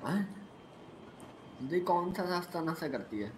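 A man's voice: fairly high pitch, lively intonation, unhurried. A young man bantering casually and teasingly as a co-player over game voice chat. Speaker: co-player in online role-play game. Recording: noisy, echoey game voice chat.

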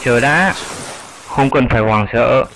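A man's voice: medium pitch, a little slow, fairly bright tone. Patient old grandfather bat voiced by a man, noisy background. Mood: patient, explaining. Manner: explanatory, patient. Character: wise elderly male fruit bat, patient grandfatherly teacher. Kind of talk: dubbed educational cartoon dialogue.

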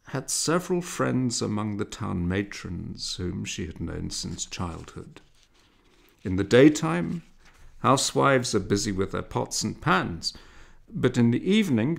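A man reading a literary story aloud as a narrator, in a measured, expressive way with dramatic emphasis. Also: fairly low pitch, creaky. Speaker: audiobook narrator.

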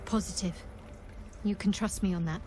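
A wry, guarded woman adventurer from a video game, voiced by an actress. Her medium-pitched voice is dry and controlled, keeping secrets. Music plays clearly behind it. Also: fairly even intonation, moderate pace, dark tone, close to an everyday voice. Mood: guarded calm.

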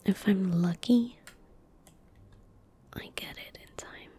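A woman in her twenties giving gentle ASMR game commentary in a soft, breathy whisper, close to a desktop microphone. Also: medium pitch. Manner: gentle, unhurried, murmuring. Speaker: streamer playing a video game. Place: small indoor room at a desk. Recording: close-miked with faint background noise. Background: faint game ambience.

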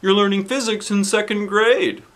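An eager storybook school principal, acted out by a man, speaks high and theatrical. He sounds like an excitable teacher presenting an experiment to a class. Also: moderate pace.

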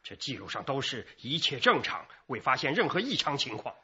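Very husky, official-sounding store boss. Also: male voice, mid-range voice, very animated, brisk, pushed and bright, highs cut off, full character voice. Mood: concerned. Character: self-important male department store general manager. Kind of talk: Chinese radio crime drama acting.

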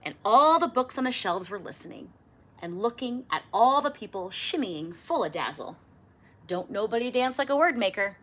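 A middle-aged woman reading a children's picture book aloud expressively, with theatrical emphasis and drawn-out words. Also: a little high, animated, fairly bright tone. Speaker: storybook reader. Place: small indoor room with bookshelves.

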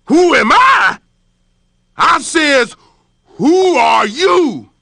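A man voicing a fierce, hungry male lion who bosses the forest and growls out slow threats. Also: very high voice, lively intonation, pushed and bright, no echo, clean studio sound, voice pushed up, heavily acted. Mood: furious. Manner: growling, bullying, drawn-out threats.

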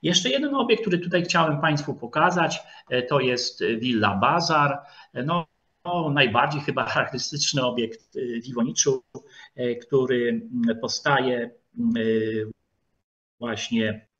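A man giving an online lecture with slides, speaking haltingly with frequent drawn-out filler sounds. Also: mid-range voice, lively intonation, sharp tone. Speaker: lecturer, historian. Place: online video call. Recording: dull, lo-fi video call audio.

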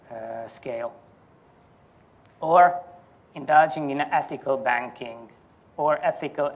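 A man in his thirties giving a formal academic presentation in a halting, deliberate manner. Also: medium pitch. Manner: halting, careful, deliberate delivery. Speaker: academic conference speaker. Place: indoor university lecture hall.

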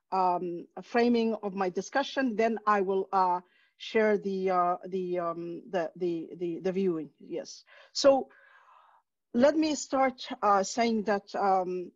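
A woman's voice: mid-range voice, a little mellow, no room sound. Somali-accented woman lecturing over video call. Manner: halting, many fillers and restarts. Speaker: guest lecturer, professor. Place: home room, indoors. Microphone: headset. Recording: dull dry video-call audio.

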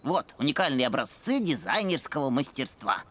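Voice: medium pitch, big pitch swings, moderate pace, natural voice. A performer voicing a bookish, stubborn know-it-all boy puppy in a cartoon, talking in a pedantic, condescending way. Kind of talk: animated cartoon voice acting.

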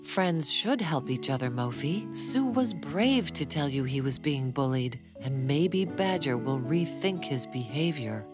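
Someone doing a calm wise cartoon moon, slow and reassuring, music playing behind. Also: medium pitch, natural voice. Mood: soothing, wise. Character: calm, wise, soothing cartoon moon, little brother's confidant. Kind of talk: children's cartoon voice acting.

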